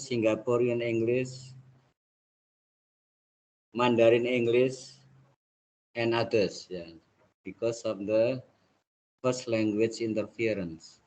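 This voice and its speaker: A male linguistics lecturer with an Indonesian accent teaches an online class in English, his second language. He speaks at an unhurried pace and gropes for words as he explains. His pitch sits in the ordinary middle range for a man. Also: darkish tone, rough recording. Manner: halting, repeats "let's say, like".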